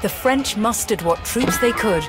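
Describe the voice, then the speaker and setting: A woman reading scripted historical narration for a strategy game, telling the story in a measured, deliberate way. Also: on the high side, lively intonation. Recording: studio voice-over with music underneath. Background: music.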